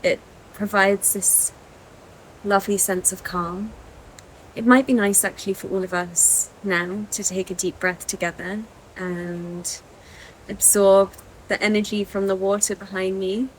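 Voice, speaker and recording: A woman with an English accent, narrating a travel vlog in a calm, reflective way, recorded outdoors by the sea. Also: medium pitch, measured pace, slight background noise, rough recording. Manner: soft-spoken, calm and reflective. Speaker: vlogger.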